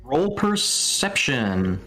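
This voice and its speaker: A man narrates a tabletop game at a slow, unhurried pace. He improvises casually and hesitantly as game master, feeling out each detail. Faint music plays underneath. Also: medium pitch, very animated, pushed and bright.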